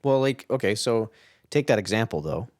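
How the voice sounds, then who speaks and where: A man's voice: lowish voice, expressive, moderate pace, no echo, clean studio sound. A man co-hosting a casual podcast discussion, explaining his thoughts reflectively and often checking in with the listener. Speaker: podcast co-host.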